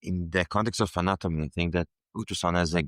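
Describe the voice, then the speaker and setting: A man's voice: low voice, steady tone, quick pace, no room sound, clean studio sound. A medical student in his twenties from Israel, answering interview questions thoughtfully with some restarts.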